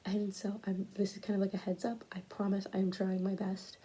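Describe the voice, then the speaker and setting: A breathy young woman gives a casual school talk, recorded on a tablet at home late at night. She stutters and pauses between fillers, sometimes dropping to a whisper, and her pitch sits right in the middle. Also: little pitch movement, mellow. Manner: whispered at times early on. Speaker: student presenting a class project. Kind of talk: casual spoken school presentation.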